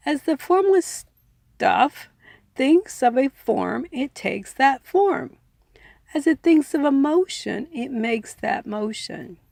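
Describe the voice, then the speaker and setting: A woman reading and explaining a self-help book in measured, deliberate phrases, in the style of an informal audiobook. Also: fairly high pitch, creaky, darkish tone, dry recording. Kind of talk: audiobook-style reading with casual personal asides.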